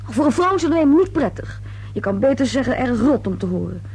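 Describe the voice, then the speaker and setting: An actress playing a sullen, sarcastic young daughter in a radio play, with a husky, breathy voice, curt sardonic delivery. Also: female voice, high voice, very animated, fairly quick, darkish tone, slight background noise. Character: sullen, sarcastic grown-up daughter needling her parents.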